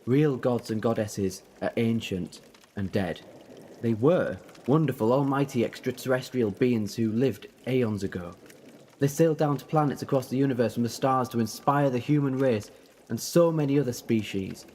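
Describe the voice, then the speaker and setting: A man's voice: mid-range voice, moderate pace, darkish tone, slight background noise, natural voice. A man playing an eccentric, defiant, youthful-looking time traveller in an audio drama, rambling in a playful, theatrical way. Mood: wistful, earnest. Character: eccentric, whimsical, defiant time-travelling alien Doctor who looks young.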